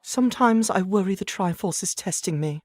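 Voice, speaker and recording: A woman's voice: mid-range voice, lively intonation, normal speed, lightly acted. A woman playing an earnest, bossy young princess in a theatrical cartoon parody dub. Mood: exasperated.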